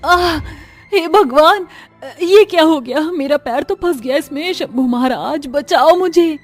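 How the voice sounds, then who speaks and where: Woman acting a high-pitched frantic village mother. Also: measured pace, faint music behind. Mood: panicked. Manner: pleading, urgent. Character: frightened, protective village mother.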